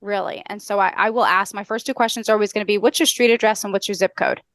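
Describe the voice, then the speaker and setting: A middle-aged American woman on a headset, explaining step by step as a podcast guest in a brisk, structured, instructional way. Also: mid-range voice, fairly even intonation, fairly bright tone, no room sound. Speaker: podcast guest, customer service trainer. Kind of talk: explanatory interview talk with acted-out call examples. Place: indoor room on a video call.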